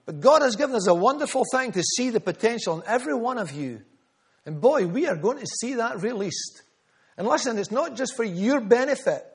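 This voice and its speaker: Male preacher, high-pitched, earnest storyteller. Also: animated, fairly quick, husky. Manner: earnest, storytelling, repeats phrases for emphasis. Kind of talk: sermon.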